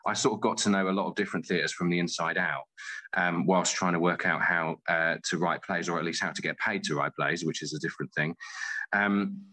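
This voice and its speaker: A man in his forties with a deep, forward, bright voice answers as an interview guest. He talks informally and haltingly, often breaking off and rephrasing. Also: fairly even intonation, poor audio. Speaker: guest, playwright. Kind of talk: casual interview chat.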